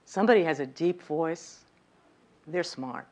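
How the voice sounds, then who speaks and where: Woman, workshop speaker, slightly high voice, lively lecture, asks the audience questions. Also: unhurried. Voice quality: voice a little high, by her own account. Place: lecture room.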